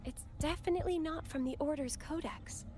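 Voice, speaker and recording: A woman's voice: high voice, brisk, dark tone, natural voice. A woman playing a devout, earnest and polite young female acolyte in a video game. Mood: puzzled.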